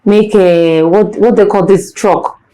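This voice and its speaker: A woman's voice: medium pitch, steady tone, slow, warm tone, poor audio. A woman with a Nigerian accent hosting a talk show, asking questions in a playful, teasing way. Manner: playful, teasing, slips into pidgin. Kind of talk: casual interview.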